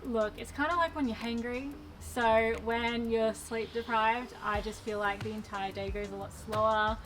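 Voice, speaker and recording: A woman in her twenties giving lively, playful interview answers and laughing often. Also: a little high, normal speed, recorded from a distance, soft background music. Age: 20s.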